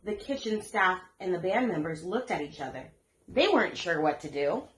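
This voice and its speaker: A woman reading a children's story aloud as an expressive storyteller. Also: on the low side, normal speed, slight background noise. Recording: echoey room.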